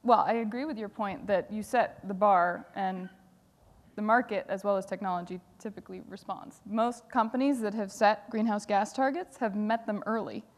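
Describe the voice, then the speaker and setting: A woman on a conference panel who lays out her points in a measured, explanatory way. Her pitch is middling. A long hall reverb trails behind her voice. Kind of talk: panel discussion.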